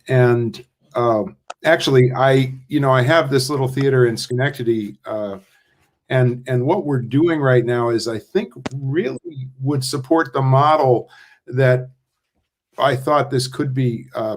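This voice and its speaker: An older man with a mid-pitched voice talks casually over a video call. He is reflective and halting, thinking aloud as a playwright discussing his work. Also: a little mellow. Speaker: playwright in a post-show discussion.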